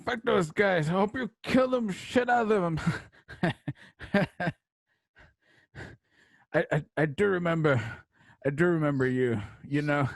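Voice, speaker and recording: A man's voice: a little high, normal speed, vocal fry, no echo, studio quality. An American man playing a desperate, pleading Western outlaw in a radio drama, stammering and whimpering. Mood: desperate, fawning.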